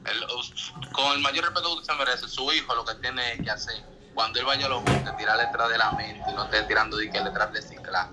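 A man's voice: mid-range voice, brisk, over loud music. A man with a Dominican accent arguing defensively over a phone on speaker, repeating himself in short bursts. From Dominican Republic. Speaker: prank call victim. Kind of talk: argumentative phone conversation. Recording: noisy, harsh phone call over speaker.

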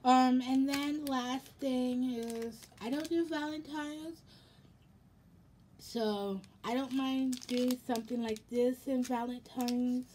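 Young woman, American accent, higher-pitched, slightly raspy, slow halting casual vlog talk, some background noise. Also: steady tone, darkish tone. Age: young adult. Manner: rambling, halting. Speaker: vlogger. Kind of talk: casual haul vlog chat. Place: indoors in a home room.

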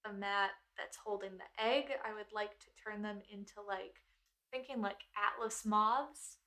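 A woman's voice: mid-range voice, fairly even intonation, unhurried, pushed and bright. Casual woman playing a tabletop game. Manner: informal, thinking aloud. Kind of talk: casual chat, describing game moves.

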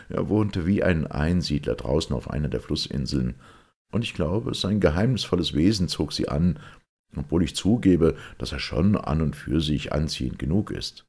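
A man reading a detective story aloud as an audiobook narrator, expressive and measured, with a gravelly, husky voice. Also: extremely low pitch. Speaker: audiobook reader.

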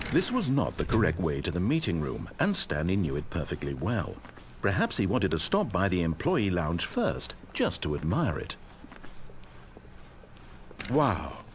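An actor playing a composed, wry, omniscient narrator in a video game, with a British accent and deliberate, articulate, theatrical delivery. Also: male voice, lowish voice, a little hiss or hum.

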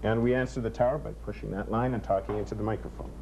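A man's voice: on the low side, steady tone, very fast, vocal fry, mellow. A man working as an air traffic controller, giving technical instructions and explanations in a control room. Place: control room full of consoles. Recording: dull tape, faint room noise.

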